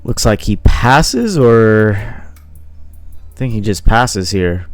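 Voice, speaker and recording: A man's voice: lowish voice, lively intonation, takes it slowly, no echo, soft background music. A man giving casual play-by-play commentary on a card game match, thinking aloud with frequent fillers. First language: not Italian.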